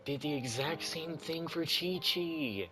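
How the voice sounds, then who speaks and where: A man's voice: on the high side, slow pace, bright, forceful tone. A man giving casual commentary as he plays through a video game. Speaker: gaming streamer. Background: game music.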